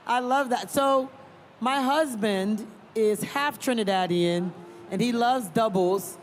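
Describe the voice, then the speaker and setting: A woman originally from Liberia moderating a live panel, chatty and quick to laugh. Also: on the high side. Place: large convention hall.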